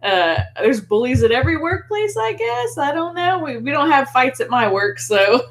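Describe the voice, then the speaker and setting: A woman's voice: sits high, very animated, moderate pace. A woman co-hosting a casual film discussion, talking in an enthusiastic, chatty, informal way. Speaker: podcast co-host.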